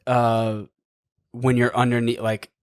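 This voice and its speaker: A man hosting a podcast, talking casually with halting restarts and lots of filler words. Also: mid-range voice, completely flat delivery, smooth, no echo, studio quality. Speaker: podcast host. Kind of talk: casual chat.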